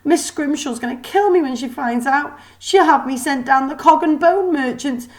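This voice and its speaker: A woman's voice: sits high, moderate pace, pitched above the performer's own voice, close to an everyday voice. A woman reading a storybook as a tearful robot maid, hesitant and deferential, with colloquial servant speech. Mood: fretful, fearful. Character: timid, tearful female mechanical maid, servant.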